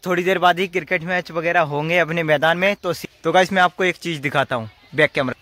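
Higher-pitched young man vlogging outdoors. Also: fairly even intonation, quick pace, pushed and bright, faint music behind. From rural India. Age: young adult. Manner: informal, addresses viewers as brothers. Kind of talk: casual vlog chat to camera. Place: outdoors in village fields and tented cricket ground. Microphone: phone at arm's length. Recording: noisy outdoor phone recording.

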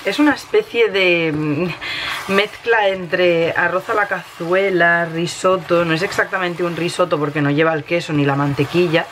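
A woman in her thirties with a Spain Spanish accent, slightly hoarse from a cold, casually narrating a recipe as she cooks in her home kitchen. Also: lowish voice, fairly even intonation, normal speed, a bit bright. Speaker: home cooking vlogger. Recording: noisy kitchen with sizzling pan. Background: frying and stirring sounds.

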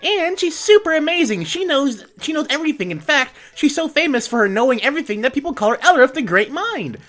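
A woman voicing an excitable little fairy girl, bubbly and eager. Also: high-pitched, fast, soft background music, pitched above the performer's own voice.

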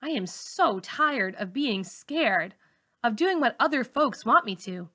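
A woman's voice: a little high, lively intonation, slow, bright, forceful tone. A woman narrating a first-person novel aloud, as a teacher reads to a class.

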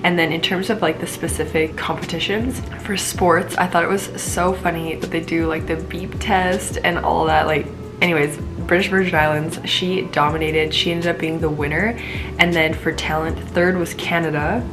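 A woman's voice: sits low, steady tone, normal speed, over music. A young woman with a stuffed-up cold, reviewing a pageant in a bubbly, rambling, casual vlog to camera from a small room. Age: young adult. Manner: bubbly, frequent "like", rambling asides. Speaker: pageant vlogger. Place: small indoor room at home.

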